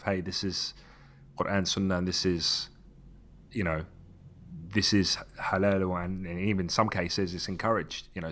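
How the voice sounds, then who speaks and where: Deep-voiced emphatic man from Tunisia. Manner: animated, emphatic, restarts phrases. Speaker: podcast co-host. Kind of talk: casual podcast chat.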